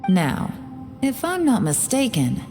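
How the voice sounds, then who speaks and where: A woman's voice: fairly high pitch, huge pitch swings, slow pace, a little mellow, exaggerated voice. An actress playing a cool, self-assured female investigator in an anime video game, composed and clipped. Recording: long reverb, music behind.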